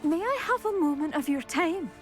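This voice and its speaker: A woman's voice: very high-pitched, expressive, moderate pace, faint music behind. An actress playing a vain, chatty, fashion-minded woman in a video game, speaking with breezy, polite self-satisfaction. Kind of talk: video game character dialogue.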